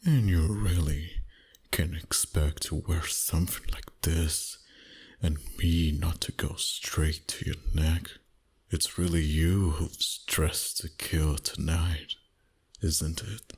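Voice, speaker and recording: A man playing a seductive, dominant vampire boss in an intimate ASMR roleplay, breathy and husky, often whispering, with a slow teasing delivery. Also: rumbling low, steady tone, exaggerated voice. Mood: seductive, coaxing.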